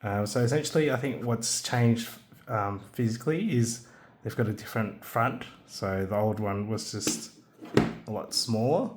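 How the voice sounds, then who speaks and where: Relaxed low-voiced man demoing gadgets. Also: unhurried, a little hiss or hum. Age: adult, has a young son. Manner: relaxed, hesitant, many ums and restarts. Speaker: maker showing a radio kit. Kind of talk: casual hands-on product demo.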